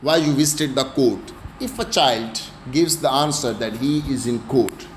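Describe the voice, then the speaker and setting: A man's voice: mid-range voice, a little slow. A man with a Pakistani accent gives an explanatory law lecture, emphatically repeating his key phrases. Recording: echoey room with faint background noise.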